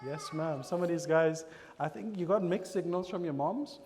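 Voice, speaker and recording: A Trinidadian man preaching in a church, his voice sitting high for a man. He is animated and slips into quoted voices of congregation members. The sound carries a long echo from the hall. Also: moderate pace. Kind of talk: sermon. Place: church sanctuary.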